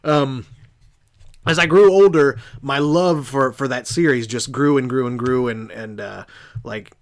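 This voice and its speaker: An American man with a mid-pitched voice hosts a casual podcast. He tells stories in a loose, rambling way, doubling back and losing his thread, with some offhand swearing. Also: a little slow. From southeastern Indiana, United States. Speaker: podcast host. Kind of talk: casual storytelling on a horror podcast.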